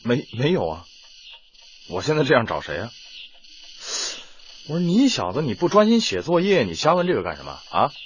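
A man's voice: medium pitch, huge pitch swings, moderate pace, pauses often, a little hiss or hum. An actor playing a brusque but fond young male tutor in a radio drama, teasing in colloquial, exclamatory speech. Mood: brusque, impatient. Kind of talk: Chinese radio drama acting. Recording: dull lo-fi radio drama with music.

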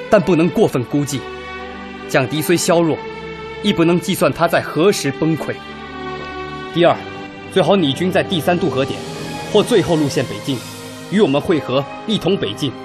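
A senior army officer, acted by a man for a radio drama, brisk and commanding. The voice sits a little high and delivers every point emphatically. Soft music runs underneath. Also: natural voice. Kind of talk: Chinese radio drama acting.

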